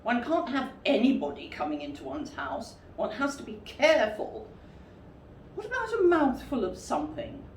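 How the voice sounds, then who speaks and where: A woman voicing a wary, fussy storybook rabbit who is polite but evasive, speaking in a loud, brisk way. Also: a little high, wildly swooping pitch, voice pushed up. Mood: relieved, chatty. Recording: roomy, distant mic with faint noise.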